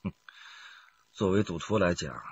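A man's voice: low voice, animated, a little slow, husky, no echo, dull audio, exaggerated voice. A man playing a cunning, reckless gambler and murder suspect, brazen and sneering, in a radio crime drama. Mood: cynical confessing.

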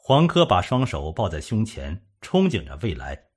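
A man with a husky voice reading a scripted suspense story as an audiobook narrator. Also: low-pitched, huge pitch swings, moderate pace.

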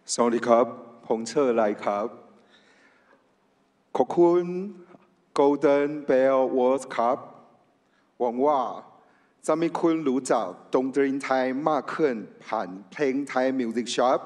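A Taiwanese-accented man delivers an acceptance speech at a quick pace. His voice sits at a middle pitch and carries the long reverb of a big auditorium. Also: darkish tone. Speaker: radio show host accepting an award. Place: on stage in a large theatre. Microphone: microphone on a stand, held in hand.

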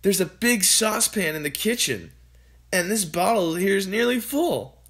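A reader voicing a young boy who answers plainly and calmly. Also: medium pitch, normal speed, pitched above the performer's own voice, close to an everyday voice. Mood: matter-of-fact. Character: young boy, calm and matter-of-fact.

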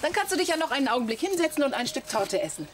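An actress playing a sensible, dryly teasing wife in a German radio comedy drama. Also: female voice, high-pitched, big pitch swings, rapid-fire, a little hiss or hum.

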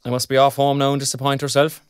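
A young Irish graduate, played by an actor, chatty and good-natured. He talks in an everyday, colloquial way with friendly concern, in a medium-pitched male voice. It is an audio drama with a very dry sound. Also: monotone, fast, clean tone, dry recording, natural voice. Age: young adult. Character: kindly, chatty young job-seeking graduate.

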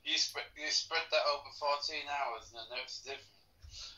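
A man's voice: a little high, normal speed, sharp tone, highs cut off. A man in casual conversation, heard echoey and distant over a call. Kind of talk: casual phone chat.

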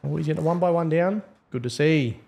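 A man's voice: on the high side, brisk, vocal fry, background noise. A man giving casual, slangy, wry gameplay commentary. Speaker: host, game server admin.

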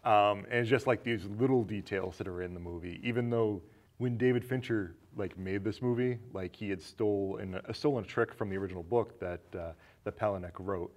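A man's voice: fairly low pitch, a little fast. A man in his thirties co-hosting a casual film discussion, questioning and piecing thoughts together as he goes. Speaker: film discussion show co-host. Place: small indoor studio set with curtain.

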